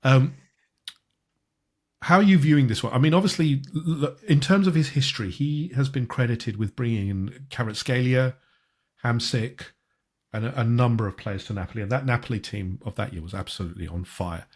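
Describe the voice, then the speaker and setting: A man hosting a football podcast in casual, conversational talk, with a husky voice and a halting way of repeating words. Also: medium pitch.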